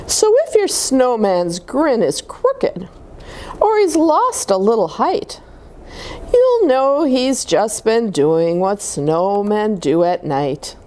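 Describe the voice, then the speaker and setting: Animated, higher-pitched woman reading to children. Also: background noise. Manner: expressive, deliberate phrasing with chatty asides to listeners. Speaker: children's story-time reader at a church. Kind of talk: read-aloud picture book storytelling for young children.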